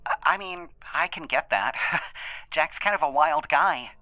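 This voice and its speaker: A man's voice: a little high, wildly swooping pitch, measured pace, creaky, bright, forceful tone, dry recording, exaggerated voice. A man voicing an easygoing, chatty male interviewee in an audio drama, with a cheeky, slightly stammering delivery. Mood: open, casual.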